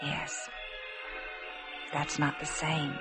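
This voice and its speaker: A shy young woman speaks softly and haltingly, as if confiding something tender and uncertain. It is 1950s radio drama, earnest and wistful, with music clearly behind her voice. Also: sits low, completely flat delivery. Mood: troubled, pleading. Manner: soft-spoken, halting, wistful. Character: shy, hesitant, earnest young working girl in love. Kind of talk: 1950s radio drama acting, intimate first-person narration.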